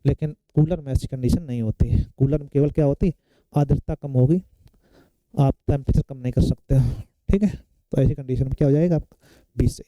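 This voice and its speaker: Mellow man teaching. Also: medium pitch, a little fast, no echo, rough recording. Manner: explanatory, often checking in with short tag questions. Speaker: science teacher for competitive exam students. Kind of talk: classroom lecture working through exam questions.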